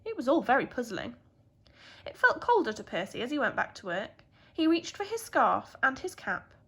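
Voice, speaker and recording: A woman's voice: high-pitched, big pitch swings, moderate pace, breathy edge. A woman with a British accent, a primary school teacher telling a story expressively to young children.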